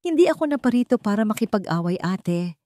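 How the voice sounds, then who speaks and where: A woman's voice: mid-range voice, very animated, brisk, warm tone, dry recording, natural voice. A grave young man, voiced by a woman narrator in an audio drama. He is wounded but keeps a controlled, solemn manner. The sound is dry. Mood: calm, grave.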